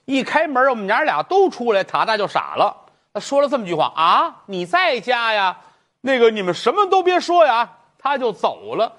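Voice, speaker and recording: A man voicing an elderly mother who pleads in court, crying out her lament in a drawn-out sing-song. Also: extremely high pitch, very animated, fairly bright tone, pitched above the performer's own voice, exaggerated voice. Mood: pleading. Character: wailing grief-stricken old mother pleading in court.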